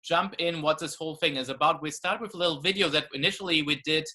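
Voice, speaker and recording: A middle-aged man from Germany talking conversationally as co-host on a video call, piecing his sentences together haltingly. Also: fairly high pitch, sharp tone. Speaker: co-host, author. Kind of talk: conversational talk in an online event.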